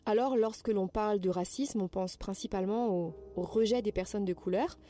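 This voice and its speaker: A woman hosting a podcast, gently reading out prepared interview questions in a measured way. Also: mid-range voice, faint music behind.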